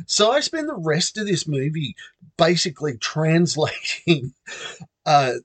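A man's voice: sits high, slightly rough, dry recording. Chatty Australian man telling a story. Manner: chatty, self-amused, acts out quoted voices. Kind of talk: casual personal storytelling.

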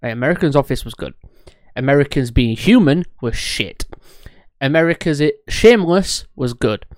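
A man's voice: mid-range voice, animated, normal speed, dry recording. A man co-hosting a casual podcast, blunt and sweary, laughing often. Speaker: podcast co-host.

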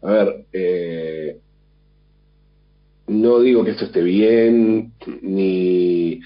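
A radio host, sick at home with a mild flu and cough, musing in a thoughtful, rambling way with many restarts. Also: male voice, fairly low pitch. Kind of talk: reflective monologue. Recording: dull lo-fi sound.

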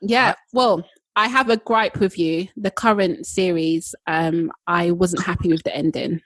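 Husky woman co-hosting with dry sound. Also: fairly low pitch, fairly even intonation, measured pace, a bit bright, dry recording. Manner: enthusiastic, chatty. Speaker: podcast co-host. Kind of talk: casual podcast chat.

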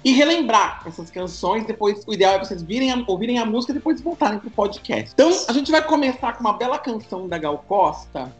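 A man's voice: high-pitched, animated, quick pace, hardly any pauses, roomy sound, soft background music. A man leading a podcast episode in a joking, teasing way. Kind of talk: casual podcast chat.

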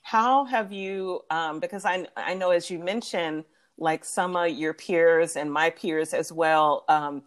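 A woman's voice: on the low side, slow pace. A woman interviewing a poet in a casual conversation. She is affirming and chatty, and she asks long, winding questions.

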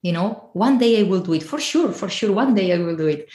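A woman's voice: mid-range voice, lively intonation, fairly quick, warm tone. A woman in her forties from Spain, with a Spanish accent, telling her own story conversationally as a podcast guest. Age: 40s. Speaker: podcast guest, travel and life coach. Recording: roomy, echoey sound.

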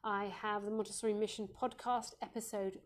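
A woman with a croaky voice, a Montessori educator hosting a live parenting advice Q&A, gentle and reassuring, with frequent ums. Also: medium pitch, flat delivery, fairly quick.

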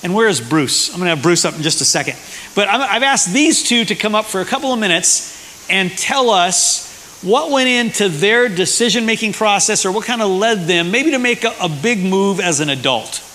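High, bright-voiced pastor in a church. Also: male voice, animated, normal speed. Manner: conversational, with fillers. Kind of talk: church sermon. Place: church hall. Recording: hissy echoey church hall.

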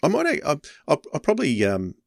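A man's voice: on the high side, huge pitch swings, a little fast, gravelly, dry recording, studio quality. A man hosting a podcast in a casual, rambling, informal way. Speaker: podcast host. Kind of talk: casual chat.

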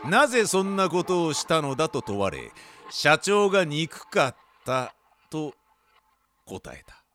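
A man playing a proud, self-righteous jailed department manager, telling his tale in dramatic, halting style in a comic radio drama. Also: fairly high pitch, big pitch swings, fairly bright tone. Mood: grave confession. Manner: dramatic, halting, boastful storytelling.

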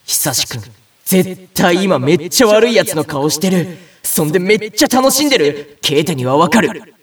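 A man acting an ordinary, excitable young big-brother type in a Japanese audio drama, chatty and comically flustered, with first-person narration. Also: high voice, moderate pace, distant mic. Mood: wry.

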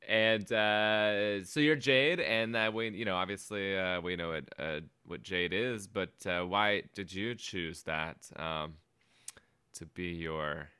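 A man's voice: deep voice, slow pace, sharp tone, clean tone, dry recording, studio quality. A man hosting a podcast interview, chatting casually with lots of fillers and restarts. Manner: rambling, many fillers and restarts. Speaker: podcast host.

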